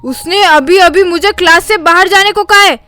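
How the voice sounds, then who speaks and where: Woman doing snotty little rich kid in a cartoon, super high n sharp, bossy. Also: normal speed. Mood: whiny complaining. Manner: haughty, bossy, threatening. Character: arrogant bratty schoolboy, rich man's spoiled son. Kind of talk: Hindi comedy cartoon voice acting.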